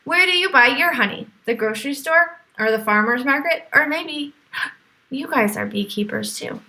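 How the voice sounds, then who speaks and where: A woman reading aloud as a teacher to young children, with animated exclamations, questions and explanatory asides. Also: sits high, normal speed. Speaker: teacher reading to a virtual class.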